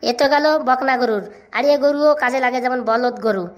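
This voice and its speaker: A woman's voice: high voice, brisk, muffled recording, close to an everyday voice. A woman voicing a cheeky, bossy, boastful village schoolboy in a comic cartoon, rattling on self-assuredly. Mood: eager reciting.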